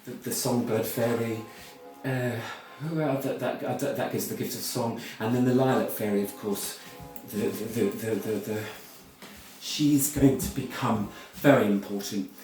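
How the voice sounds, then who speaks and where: A British man giving a rambling, informal talk as a storyteller, halting and repeating words, with theatrical, opinionated asides. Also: mid-range voice, fairly even intonation, a little mellow, faint music behind. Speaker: ballet storyteller and performer. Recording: very echoey room, far from mic.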